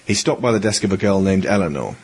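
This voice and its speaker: Male narrator, deep and slightly husky, for scripted audio drama storytelling. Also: animated, fast, darkish tone.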